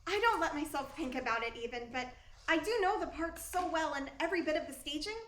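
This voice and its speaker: An actress playing a meek, gushing young aspiring actress in a radio play, earnest and deferential. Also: female voice, sits high, animated, quick pace, a bit bright, a little hiss or hum. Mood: humble, earnest. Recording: roomy, echoing sound.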